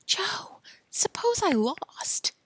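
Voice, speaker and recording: A woman's voice: mid-range voice, animated, moderate pace, bright, forceful tone, dry recording. A woman voicing an anxious young boy, whispering urgently and pleading on a late-night phone call.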